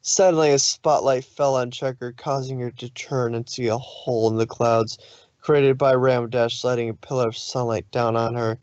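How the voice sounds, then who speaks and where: A man's voice: mid-range voice, fairly even intonation, moderate pace, a little mellow, no echo. Dry, slightly dull-sounding male story reader. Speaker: fan fiction reader. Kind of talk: expressive story narration.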